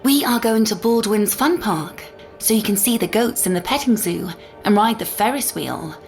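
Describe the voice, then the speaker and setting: A woman voicing a doting mother who speaks gently and coaxingly to a small child, in an audiobook story. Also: mid-range voice, normal speed, faint music behind, natural voice. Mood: sweet, coaxing. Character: doting mother soothing her small daughter.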